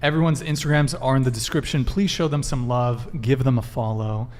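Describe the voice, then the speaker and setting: A man hosting a live podcast, running through announcements and promos in a brisk patter with fillers. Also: mid-range voice, steady tone, a little hiss or hum. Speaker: podcast host.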